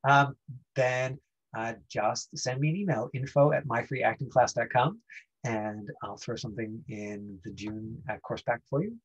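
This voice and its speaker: Husky man teaching an acting class. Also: medium pitch, lo-fi sound. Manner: halting, explanatory, frequent 'you know'. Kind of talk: informal lesson talk.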